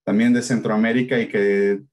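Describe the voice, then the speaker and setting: A man's voice: lowish voice, level tone, moderate pace, darkish tone. A man in his thirties with a Salvadoran accent, giving an introductory talk at an online event. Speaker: investor, panel moderator. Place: indoors on a video call.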